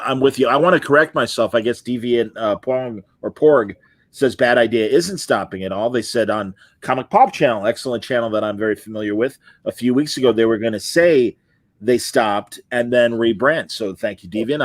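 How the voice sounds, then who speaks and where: A man's voice: mid-range voice, dry recording. A middle-aged man hosting a casual interview podcast, chatting loosely and reading out viewer comments. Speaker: podcast host.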